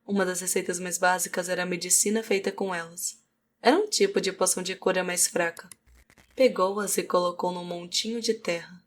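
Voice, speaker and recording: A woman's voice: fairly low pitch, fairly even intonation, brisk. A Brazilian woman reading scripted narration for a fantasy audiobook. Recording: music behind the voice. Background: music.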